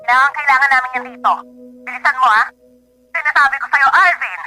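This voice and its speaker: A scornful filipino woman nagging on the phone, super sharp and high, faint music behind, radio drama. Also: quick pace. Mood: scornful, demanding. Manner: scolding, belittling. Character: nagging, scornful mother demanding money from her partner.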